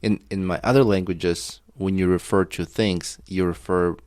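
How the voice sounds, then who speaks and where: A man's voice: deep voice, fairly even intonation, normal speed, a little mellow, dry recording, clean studio sound. A man whose first language is Armenian, co-hosting a casual chat over beers, laughing often and picking at word choices. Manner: laughs often, joking and nitpicky. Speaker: podcast co-host.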